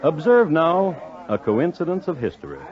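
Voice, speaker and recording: A man hosting and narrating a 1950s radio crime story in a dry, wry, measured storytelling manner. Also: on the high side, very animated, darkish tone. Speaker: host and narrator. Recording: dull 1950s radio recording.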